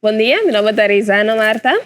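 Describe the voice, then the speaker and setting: Female association member on radio; plain, steady explanatory delivery. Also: on the high side, fairly quick. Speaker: member of a cultural association, guest. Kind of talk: casual interview explanation.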